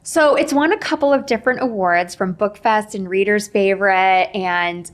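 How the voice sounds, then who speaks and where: A middle-aged woman telling stories casually as a podcast guest, chatty and expressive, often voicing remembered dialogue. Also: mid-range voice. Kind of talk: casual interview chat with animated storytelling.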